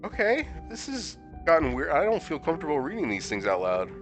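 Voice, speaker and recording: A hoarse man streaming a horror visual novel, reading the dialogue aloud and adding sarcastic comments, sometimes jumping into high-pitched disbelief. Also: wildly swooping pitch, brisk. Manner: casual, sarcastic commentary with sudden high-pitched incredulous outbursts. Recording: music playing behind the voice.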